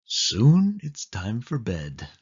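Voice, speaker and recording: A low-voiced man narrates a story for young children, unhurried and expressive. His intonation swings widely, and the voice is a little husky. The sound is slightly dull and lo-fi. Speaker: storyteller reading a picture book for children. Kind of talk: animated read-aloud narration for young children.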